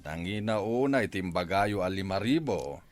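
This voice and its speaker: An actor playing a deferential village buyer haggling in a radio comedy drama, with an Ilocano accent. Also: male voice, deep voice, expressive, moderate pace, no echo. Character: bargaining male villager buying cattle, deferential.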